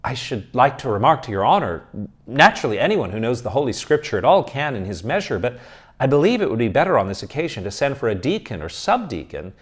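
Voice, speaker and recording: A man voicing a nervous, excitable young male student of philosophy who protests in flustered exclamations. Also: mid-range voice, fast talker, breathy edge, pitched above the performer's own voice. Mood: protesting.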